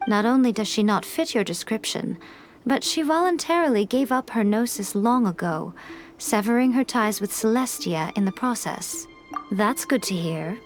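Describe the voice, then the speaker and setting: A woman playing a sly, wise fox-spirit shrine maiden in a video game, speaking in a composed, formal, gently teasing way. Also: a little high, lively intonation, moderate pace, soft background music, lightly acted. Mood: calm, assured. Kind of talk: scripted video game dialogue.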